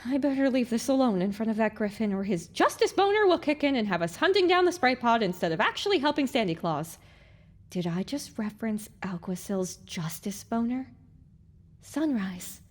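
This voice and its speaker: A woman voicing a young pony heroine in a dramatized audiobook, sarcastic, exclamatory and given to scolding herself. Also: on the high side. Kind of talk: dramatized audiobook character acting.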